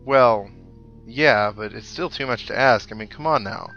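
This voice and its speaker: A man gives casual, wry commentary on a video game he is playing. He tosses in joking asides as he goes, and game music plays clearly behind his voice. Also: mid-range voice, normal speed, a bit bright, muffled recording. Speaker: video game let's player, host. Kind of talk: casual game commentary, reading dialogue aloud.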